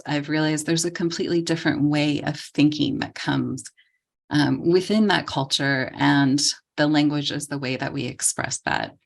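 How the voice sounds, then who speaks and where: Woman tutor trainer, low voice, calm explanatory lecture with ums. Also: vocal fry.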